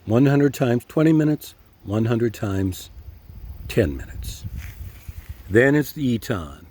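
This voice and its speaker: Husky older man reviewing gear. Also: medium pitch, lively intonation, darkish tone. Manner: deliberate, emphatic repetition of key phrases. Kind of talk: casual product review to camera.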